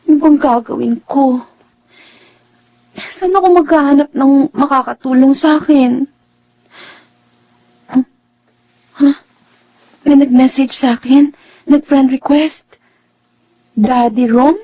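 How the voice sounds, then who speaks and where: A woman's voice: high-pitched, warm tone, husky, dry recording, dull audio, heavily acted. A woman acting a troubled, earnest young college student in a Filipino radio drama, with a plaintive, emotive delivery. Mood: worried musing, then curious, hopeful.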